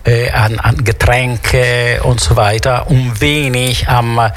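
A man's voice: mid-range voice, slow. A man from Sicily, an Italian native with an Italian accent, chatting animatedly on a talk show. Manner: animated, switches between German and Italian. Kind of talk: casual chat.

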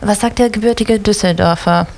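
A woman's voice: medium pitch, brisk, creaky, darkish tone, slight background noise. A woman from Bulgaria hosting a radio talk show, putting curious questions to her guests.

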